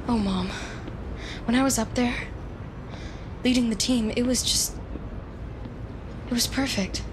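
A woman's voice: a little high, measured pace, background noise, lightly acted. An actress playing an anxious, obsessive young woman who talks in a nervous, stammering way in an audio drama. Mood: scheming, dreamy. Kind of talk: audio fiction acting.

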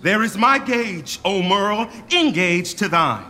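A man's voice: sits high, expressive, unhurried, long reverb tail, noisy background. An actor playing a hot-headed, contemptuous nobleman in a Shakespearean radio drama, declaiming with taunting emphasis. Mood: challenging, vehement.

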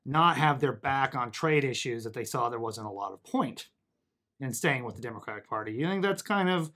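A man's voice: mid-range voice, expressive, a little fast, pushed and bright. A man hosting a podcast interview, conversational and chatty, laughing easily. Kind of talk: casual interview chat.

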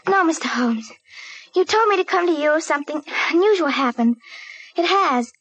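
Inquisitive little girl, high bright childlike voice, dry sound, radio play. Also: lively intonation, moderate pace, dry recording, low-quality recording. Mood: worried, earnest. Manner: childlike, earnest. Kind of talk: old-time radio acting.

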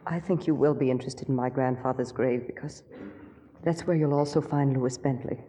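A woman's voice: rumbling low, fairly even intonation, moderate pace, warm tone, slightly rough, in a large hall. An actress playing a composed, resigned woman burdened by guilt in a 1940s radio drama, subdued and restrained. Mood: weary, resigned.

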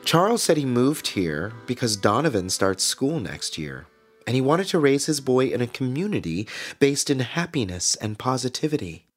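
An actor playing a cheerful, sentimental small-town community radio host, telling stories in an earnest, dramatic, deliberate scripted monologue. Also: male voice, mid-range voice. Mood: earnest, wistful. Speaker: radio host narrator in a fiction podcast.

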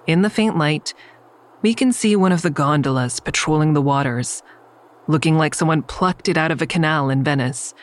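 A woman's voice: sits low, normal speed, lightly acted. A woman playing a wary, wry character who narrates her own story in an audio drama, in a confiding way. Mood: descriptive, calm.

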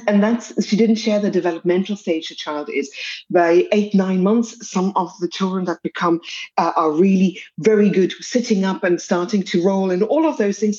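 A woman with a South African accent, calmly explaining infant feeding as a podcast guest, methodically listing factors one by one. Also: medium pitch, dull audio. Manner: methodical, lists factors step by step. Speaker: pediatric dietitian guest. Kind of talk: expert podcast answer.

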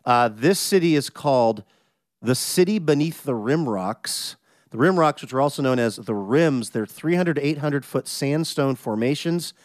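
Upbeat radio host with a scratchy voice. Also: male voice, mid-range voice, lively intonation, normal speed, dry recording, studio quality. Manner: upbeat, chatty, jokey. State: a bit hoarse from a cold. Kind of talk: casual radio hosting.

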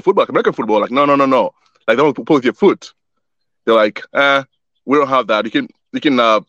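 A man's voice: mid-range voice, no echo. Easygoing young man, dry and dull sound. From born in Wisconsin, grew up in Tanzania. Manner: relaxed, repeats words often while he thinks. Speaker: guest, youth soccer organization founder. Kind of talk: casual interview chat.

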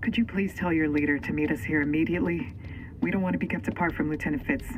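A wary, urgent man played by a man in an audio drama. His fairly high voice moves quickly and insistently, still polite, over faint background noise. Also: steady tone, natural voice, rough recording.

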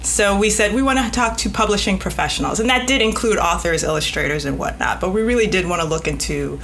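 A woman's voice: mid-range voice, quick pace, a bit bright, slight background noise. A woman speaking frankly and conversationally as a podcast guest, stressing points through repetition. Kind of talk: casual interview talk.

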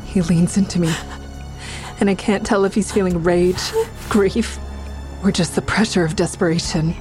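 An actress playing a frightened woman who tells her own ordeal in an audio drama, with tense, measured storytelling. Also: on the low side, fairly even intonation, darkish tone, natural voice, low-quality recording. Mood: tense narration. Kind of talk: dramatic first-person narration and dialogue. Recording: music behind the voice.

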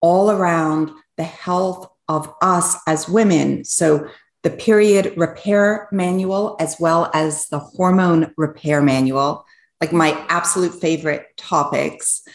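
A woman's voice: lowish voice, slow, reverberant. A middle-aged woman hosting a podcast interview, asking long, enthusiastic, rambling questions. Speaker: podcast co-host.

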